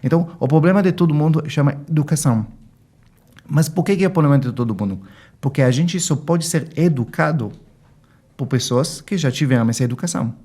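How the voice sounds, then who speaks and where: A man's voice: a little high, a little fast, dark tone, husky. A man from Israel with an Israeli accent, talking as an interview guest, explaining things emphatically and acting out bits of quoted dialogue. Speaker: guest financial consultant. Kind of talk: explanatory interview talk with anecdotes.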